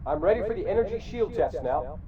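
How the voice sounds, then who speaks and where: An actor playing an eager, respectful junior technician in a video game, giving short procedural status reports. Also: male voice, high voice, animated, brisk, over a noisy crowd. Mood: efficient. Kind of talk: video game cutscene acting. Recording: dull speaker sound with loud music.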